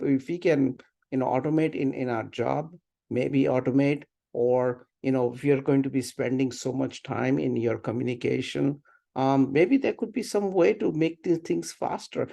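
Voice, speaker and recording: A middle-aged man teaching over a video call. His voice is mid-pitched and full of creak, and he speaks haltingly, often repeating and rephrasing as he leads discussion. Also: dark tone. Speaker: webinar instructor. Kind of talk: informal class discussion. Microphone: video call computer mic.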